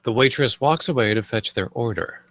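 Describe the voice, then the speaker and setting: A man's voice: medium pitch, normal speed, a bit bright, dry recording. A man reading stage directions as the narrator of a film script read. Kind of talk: scripted narration, present tense.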